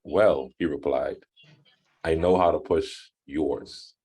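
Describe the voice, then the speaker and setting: A man with a very deep, low voice who speaks slowly and unhurriedly. He talks over a video call in an easygoing, casual manner. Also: a little mellow, slightly rough. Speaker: club member giving fines. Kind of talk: casual online meeting talk.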